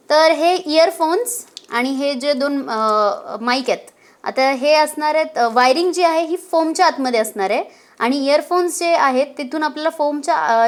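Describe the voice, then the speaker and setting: A woman speaks in a somewhat high-pitched voice. She presents a hands-on product demonstration in an informal vlog style. Her explanation is a little hesitant and sprinkled with English words. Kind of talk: casual unboxing and installation demonstration.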